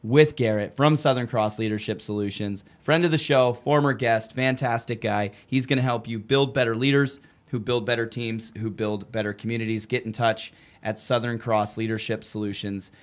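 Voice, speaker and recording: A man in his thirties in an average-pitched voice hosts a podcast, upbeat and joking in a loose casual way. He talks into a boom mic in a brick-walled studio. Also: fairly even intonation, normal speed, hardly any pauses. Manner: upbeat and joking, says dude and like a lot. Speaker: podcast host. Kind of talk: casual podcast chat and ad reads. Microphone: studio microphone on a boom arm.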